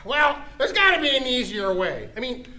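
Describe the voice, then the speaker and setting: A woman's voice: sits high, sing-song, moderate pace, sharp tone, heavily acted, poor audio. A woman playing a brash, impatient, sarcastic female interviewer in a comedic radio play. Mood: exasperated. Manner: sarcastic, crude, calls people honey.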